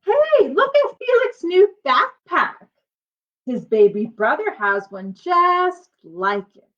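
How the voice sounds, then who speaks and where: Lady putting on a mean teasing kid from a picture book, high voice, jeering, bit lo-fi. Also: big pitch swings, slow, a bit bright, full character voice. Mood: mocking. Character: taunting school bullies, mocking kids.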